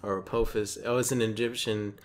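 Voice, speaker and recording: A man co-hosting a casual chat, reading bits aloud and reacting to them in a jokey, animated way. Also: lowish voice, a little fast. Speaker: host.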